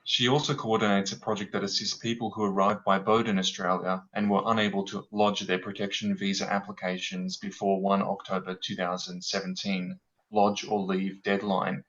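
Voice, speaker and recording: A man moderating an event, reading a formal introduction of a speaker in a steady way, on dull lo-fi audio. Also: low-pitched, moderate pace. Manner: reads a bio in a steady, formal way.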